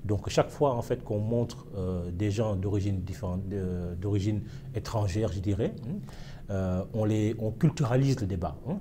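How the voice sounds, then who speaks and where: A man's voice: fairly low pitch, normal speed, noisy background. A man from Senegal, a historian, answering interview questions in a reflective way with frequent fillers and hesitations. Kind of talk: casual interview.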